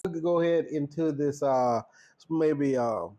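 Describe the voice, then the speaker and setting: A man's voice: a little high, unhurried, dark tone. A man hosting an interview, asking questions in a friendly, chatty, playful way. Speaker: host. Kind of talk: casual interview chat.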